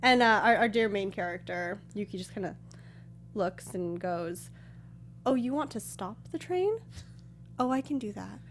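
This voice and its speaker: A woman's voice: medium pitch, animated, a little slow, pauses often. Woman co-host chatting, faint background noise. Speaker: co-host of a film review show. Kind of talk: casual chat, film review.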